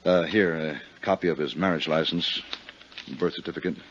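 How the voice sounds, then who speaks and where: A man with an American accent acting as a haggard, worried, soft-hearted lawyer in a 1950s radio drama, earnest and hesitant. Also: very deep voice, moderate pace, a little hiss or hum. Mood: earnest, explaining. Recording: muffled vintage radio recording.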